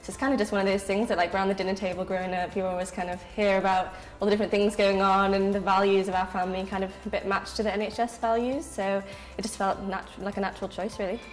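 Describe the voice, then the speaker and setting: Young woman from North Yorkshire, graduate trainee, quick and chatty, roomy recording with faint music. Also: mid-range voice, little pitch movement. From North Yorkshire, England. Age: young adult. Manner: chatty, with frequent fillers. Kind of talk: casual interview answers. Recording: roomy sound with music behind.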